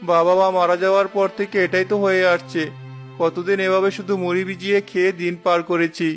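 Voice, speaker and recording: A man's voice: high-pitched, level tone, fairly quick, faint music behind, close to an everyday voice. A man voicing a meek, overworked orphan village boy in a cartoon, speaking in a plaintive, obedient way. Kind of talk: Bengali cartoon voice acting.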